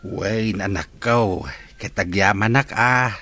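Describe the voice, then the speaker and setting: A man playing a gentle, grateful elderly father in a radio drama, speaking with an Ilocano accent and an unhurried, reassuring manner. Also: lowish voice.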